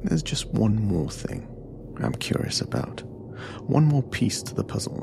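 An actor playing a calm, formal interrogator who probes in a deliberate, controlled way in an audio drama. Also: male voice, fairly low pitch, creaky, background noise, full character voice. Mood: measured, probing. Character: calm, measured, probing male interrogator.

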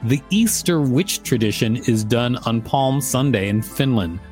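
A man's voice: mid-range voice, expressive, measured pace, darkish tone, soft background music. A male podcast host reading an article aloud in an irreverent, teasing way.

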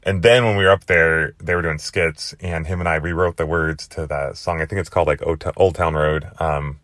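A young man with a hoarse, worn-out voice hosting his podcast in a rambling, chatty way. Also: rumbling low, fairly even intonation, a little fast, a bit bright, dry recording, studio quality. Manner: chatty, rambling with many fillers. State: hoarse, lost voice. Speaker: podcast host. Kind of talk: casual monologue.

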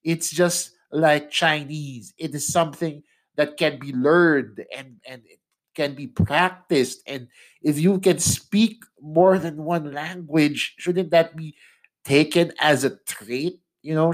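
A man's voice: fairly high pitch, slow. A young Filipino man, a native English speaker, hosting a solo podcast and speaking in a conversational way, often tagging questions onto the ends of his sentences. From Philippines. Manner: conversational, frequent tag questions, laughs mid-sentence. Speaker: podcast host. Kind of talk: solo opinion monologue.

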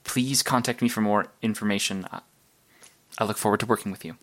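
An actor playing a nervous, earnest superhero investigator in an audio drama, speaking in a halting, analytical way. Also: male voice, fairly low pitch, fairly even intonation, a bit bright. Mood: nervous, insistent. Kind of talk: naturalistic audio drama monologue and phone calls.

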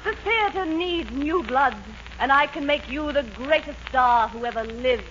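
A woman as a high-voiced, gushing theatrical diva. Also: moderate pace, close to an everyday voice. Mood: grand, persuasive. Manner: grandiose, gushing, melodramatic. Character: scheming theatrical stage actress, gushing seductive flatterer. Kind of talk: 1940s radio comedy acting. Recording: muffled, noisy 1940s radio recording.

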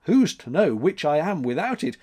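A man's voice: a little high, very animated, moderate pace, a little mellow, pitched above the performer's own voice. A man voicing a vociferous, aggrieved spirit of a month, complaining in a storybook reading.

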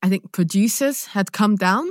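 A woman with a London accent, an actor being interviewed, telling anecdotes in casual, animated chat and acting out her own thoughts. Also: mid-range voice, normal speed, dry recording, studio quality. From London, England, born in Nigeria. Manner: animated storytelling with self-quoted asides.

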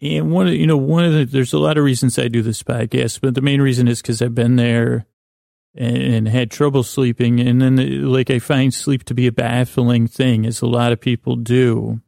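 A man with a creaky voice hosting a podcast, delivering a meandering, halting, self-deprecating bedtime monologue full of digressions and pauses. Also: mid-range voice, little pitch movement, mellow, no room sound, clean studio sound. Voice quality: creaky, gravelly. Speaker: podcast host.

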